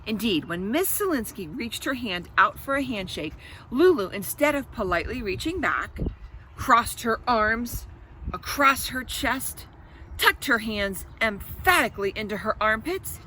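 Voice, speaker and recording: A woman's voice: on the high side, big pitch swings, a little slow, pushed and bright, slight background noise, poor audio. A woman reading a children's storybook aloud as host, expressively, with explanatory asides to her young listeners.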